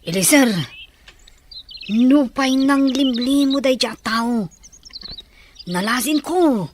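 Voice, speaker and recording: A serious tribeswoman in a film drama acted by a woman. She speaks in a high voice, with faint noise and birdsong behind her. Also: takes it slowly. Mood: grave. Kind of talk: dramatic dialogue in an Ilocano film.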